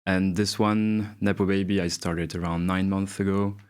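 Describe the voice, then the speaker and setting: A man in his twenties from Belgium and Chile, chatting casually as an interview guest, relaxed and giggly with many fillers. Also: low-pitched, normal speed, clear, resonant tone. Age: 20s.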